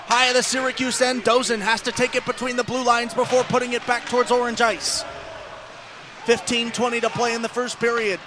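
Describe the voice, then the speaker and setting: A man giving live ice hockey radio commentary as play-by-play announcer, excitable and ready to raise his voice on chances. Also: very high-pitched, brisk, noisy background.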